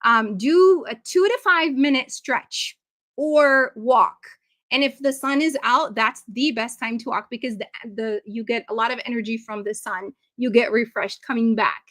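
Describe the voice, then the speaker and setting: A fairly high-voiced woman from Iraq gives a live webinar for parents over a computer mic. She talks in a conversational, encouraging way, pausing often on ums and uhs. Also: a bit bright. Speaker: webinar presenter.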